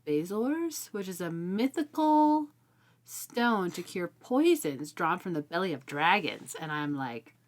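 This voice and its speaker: Low-voiced woman chatting about books. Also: big pitch swings, slow. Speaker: co-host of a book discussion show. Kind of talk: casual chat, book discussion.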